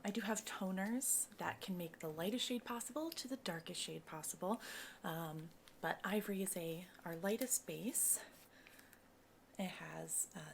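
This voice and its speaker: A soft-spoken woman doing an ASMR cosmetics consultation roleplay, breathy and often slipping into a whisper, explaining each step in a casual, reassuring way. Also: mid-range voice, slight background noise.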